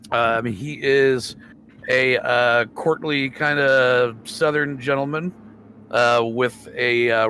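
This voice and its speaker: A man of medium pitch chats as a tabletop player. He describes things in wordy, halting phrases, with faint noise behind him. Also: pushed and bright. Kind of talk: casual chat, describing a game character.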